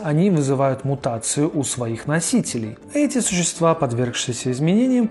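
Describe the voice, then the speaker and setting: Animated male video narrator over music. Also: fairly high pitch. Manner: informal, slangy asides. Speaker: video host. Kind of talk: scripted narration with casual asides. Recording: faint music under voice-over.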